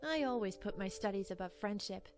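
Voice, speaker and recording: A woman's voice: medium pitch, expressive, brisk, soft background music, lightly acted. A woman voicing a proud, studious young mare unicorn who brushes others off. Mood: curt. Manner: curt, dismissive.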